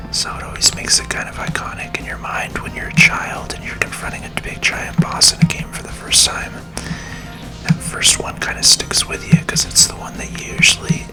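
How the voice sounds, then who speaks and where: A person whispering gentle, unhurried and reassuring ASMR commentary while playing a video game, in a breathy, unvoiced voice. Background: video game music.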